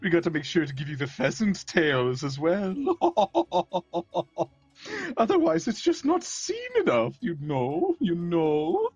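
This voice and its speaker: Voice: medium pitch, big pitch swings, takes it slowly, a little mellow, husky, no room sound, soft background music, full character voice. A performer voicing a theatrical villain barber who cackles often and speaks in pompous, old-fashioned phrases. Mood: gleeful menacing. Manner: archaic pompous speech, frequent cackles.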